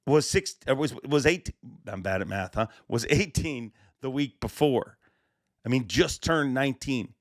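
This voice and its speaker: A man hosting a podcast, his voice slightly raspy, talking with eager, emphatic energy. The sound is dry and close, with little room around it. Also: medium pitch, no echo. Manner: excited, emphatic, rambling. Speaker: podcast host. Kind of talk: casual podcast monologue.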